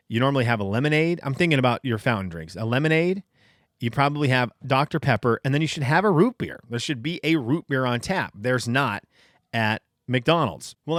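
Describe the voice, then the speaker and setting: A man's voice: mid-range voice, a bit bright, no echo, clean studio sound. A man in his thirties from Venezuela, hosting a casual solo podcast and telling rambling, self-deprecating stories. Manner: rambling storyteller, self-deprecating, frequent restarts. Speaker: podcast host.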